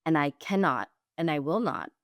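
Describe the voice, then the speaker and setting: A woman hosting a podcast, first generation in the US with Jamaican and Guyanese roots, talking in an animated, emphatic way. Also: low voice, moderate pace, dry recording, studio quality. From Jamaican and Guyanese heritage, first generation in the US. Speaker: podcast host. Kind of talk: conversational interview.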